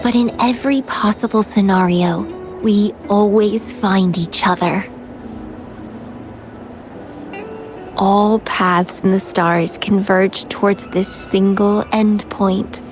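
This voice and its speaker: Playful, unhurried young enigmatic woman, voiced by an actress. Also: medium pitch, fairly even intonation, lightly acted. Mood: dreamy, devoted. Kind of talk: video game character acting. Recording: music behind the voice.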